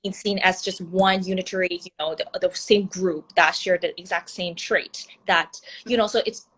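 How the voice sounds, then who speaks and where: A woman's voice: fairly low pitch, steady tone, moderate pace, a bit bright, poor audio. A young woman whose first language is Mandarin Chinese, answering interview questions about her writing in an earnest way, with frequent fillers and restarts. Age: young adult. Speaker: author being interviewed. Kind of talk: conversational interview.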